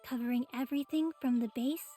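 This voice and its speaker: A serene, solemn little girl, voiced by a woman, formal and courteous. Her high voice is mellow and calm, like a ceremonial guide in an anime game. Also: fast, soft background music, natural voice.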